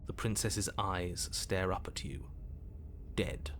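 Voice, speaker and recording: An actor playing a stern, disdainful narrator of a dark fairy tale, grave and deliberate, in dramatic game narration. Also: male voice, low-pitched, steady tone, slight background noise. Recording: music behind the voice.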